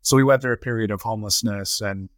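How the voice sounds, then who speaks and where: A middle-aged man reflects on his life as an interview guest. His voice sits on the low side, and he builds his account phrase by phrase in a measured way. The sound is very dry, with no room around it. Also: no room sound. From United States (Colorado) and Trinidad. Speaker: interview guest, nonprofit chief executive. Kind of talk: reflective personal storytelling in an interview.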